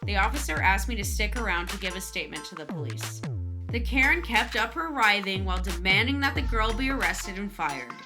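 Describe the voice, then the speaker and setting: Female story host, mid-pitched, scripted narration and commentary with a clear music bed. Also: animated, a little fast. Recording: music under the voice.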